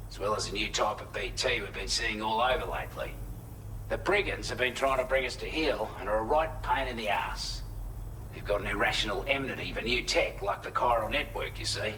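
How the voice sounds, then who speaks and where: An actor with an Australian accent playing a plain-spoken, earnest shelter resident briefing a courier in a video game. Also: male voice, mid-range voice, sharp tone, a little hiss or hum, natural voice, poor audio. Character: matter-of-fact male shelter resident, allied quartermaster briefing a courier. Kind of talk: video game cutscene dialogue, mission briefing.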